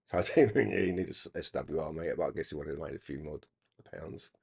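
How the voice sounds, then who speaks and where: An older man reminiscing casually in a halting, rambling, reflective way. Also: extremely low pitch, vocal fry, darkish tone, breathy edge. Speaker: hobby radio channel presenter.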